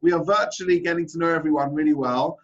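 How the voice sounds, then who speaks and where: A man's voice: fairly high pitch, steady tone, quick pace. A man, a rabbi, hosting a casual remote interview in a friendly, enthusiastic way. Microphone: video call. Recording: dull lo-fi video call audio.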